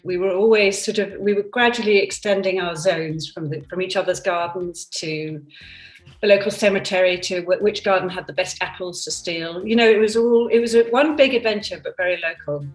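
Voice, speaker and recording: A woman's voice: on the low side, a little fast. A woman in her fifties from Denmark and England, speaking candidly and thoughtfully in an interview with frequent restarts, over a computer video call from her seaside home. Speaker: product designer interviewed. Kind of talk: reflective conversational interview. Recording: roomy, distant from the mic, soft music behind.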